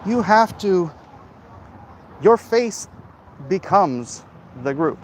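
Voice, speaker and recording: A man talking casually off the cuff in a rambling, opinionated, halting way, like a livestream host commenting and answering chat. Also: high voice, animated. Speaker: host of a livestream vlog, comic creator. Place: outdoors, walking in an urban area. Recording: clear outdoor traffic and street noise.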